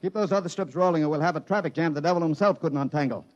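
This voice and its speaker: An actor playing a brusque chief engineer, giving urgent, clipped orders in a 1950s radio drama. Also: male voice, on the high side, rapid-fire, a little mellow, lo-fi sound, close to an everyday voice. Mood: commanding. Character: brusque, commanding male chief engineer in an emergency. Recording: faintly noisy 1950s radio recording.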